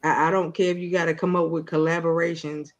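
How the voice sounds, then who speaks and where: A woman's voice: lowish voice, flat delivery, quick pace, lo-fi sound. Woman host, preachy motivational delivery. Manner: preachy, repeats phrases, checks in with listeners. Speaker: radio show host. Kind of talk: faith-based motivational talk.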